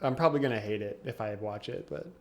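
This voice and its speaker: A man's voice: fairly low pitch, fast, noisy background. A young man co-hosting a podcast, talking casually and animatedly, laughing often and acting out quotes. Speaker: podcast co-host. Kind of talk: casual chat.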